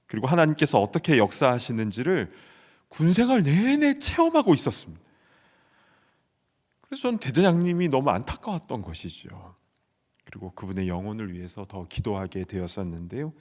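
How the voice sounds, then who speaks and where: A man's voice: mid-range voice, very animated, moderate pace. A man in his forties from South Korea, preaching a sermon as pastor and telling a personal story earnestly. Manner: earnest storytelling, shouting out quoted soldier replies. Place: church sanctuary. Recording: long hall reverb.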